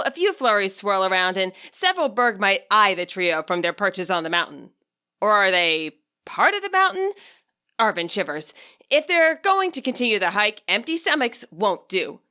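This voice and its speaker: A woman reading a scripted story as its narrator, with a wry, expressive delivery. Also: mid-range voice. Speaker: author narrating own fan fiction audiobook.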